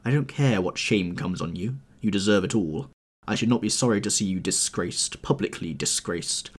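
A man voicing a hard, cold gentleman scientist who answers with contempt, in an audiobook reading. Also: on the low side, expressive, a little fast, husky. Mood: contemptuous.

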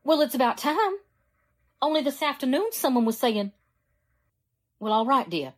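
A woman's voice: high-pitched, normal speed. An actress playing a worried, grave small-town wife and mother in a 1940s-style radio drama. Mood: anxious, relieved.

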